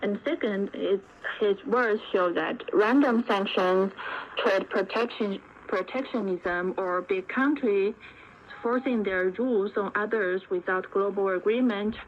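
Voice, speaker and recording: Woman, expert interview guest, measured formal delivery, orderly points, dull shortwave sound, light background noise. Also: mid-range voice.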